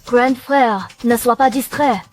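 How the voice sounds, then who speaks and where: A woman voicing a sharp-tongued, jealous little-sister ghost in an animated series. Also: a little high, takes it slowly, a little hiss or hum. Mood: bossy concern. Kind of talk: French-language animated series acting.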